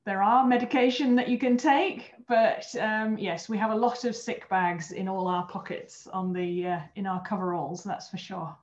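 A woman's voice: medium pitch, fairly even intonation, moderate pace, hardly any pauses. A European woman in her thirties, a former astronaut trainer, explaining her work in an earnest way on a video call interview. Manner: earnest, explanatory, with small restarts. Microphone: webcam on video call. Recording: roomy, dull video call audio.

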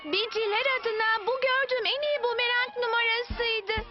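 A woman voicing a cheery, helpful little girl in an animated children's cartoon dub, chirpy and exclamatory. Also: extremely high pitch, moderate pace. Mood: eager. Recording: dull lo-fi audio with music. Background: cartoon music.

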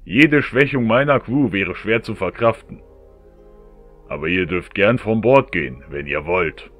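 Man acting a deep-voiced, firm ship's captain. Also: lively intonation, unhurried, a bit bright, soft background music. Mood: regretful, firm. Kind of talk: fantasy audio drama acting.

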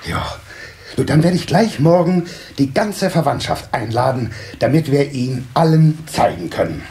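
Excitable, swooping water-sprite father. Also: on the high side. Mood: proud, planning. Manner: hemming, drawn-out, easily delighted. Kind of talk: children's audio play acting.

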